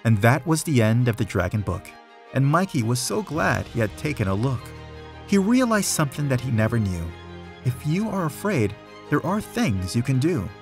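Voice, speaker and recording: A man reading a rhyming children's story aloud, in a measured, rhythmic storytelling way with clear emphasis. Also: mid-range voice, sing-song, faint music behind. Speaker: storybook reader.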